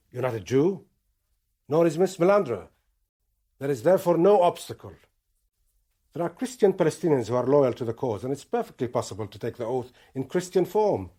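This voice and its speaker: An actor playing a Palestinian militant commander in a radio drama, deliberate, formal and imperious, with a menacing courtesy. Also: male voice, fairly high pitch, mellow, slightly rough. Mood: solemn, insistent.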